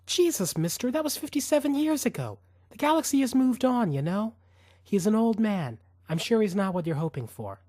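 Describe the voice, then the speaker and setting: A man acts out a tired, irritated woman in audiobook dialogue. She is curt and blunt, with a raised, swooping pitch and an exasperated edge. The recording is dry. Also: sing-song, moderate pace, a little mellow, dry recording. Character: weary, irritated woman guarding her elderly father.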